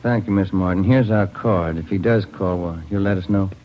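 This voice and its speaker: An actor playing a polite, businesslike detective in a 1940s radio police drama. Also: male voice, low-pitched, normal speed, close to an everyday voice. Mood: courteous. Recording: dull vintage 1940s radio recording.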